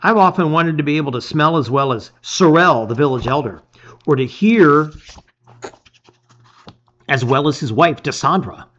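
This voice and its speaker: A man's voice: on the high side, lively intonation, normal speed, long pauses, fairly bright tone, muffled recording. A man voicing a keen young male adventurer in a storybook. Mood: thoughtful.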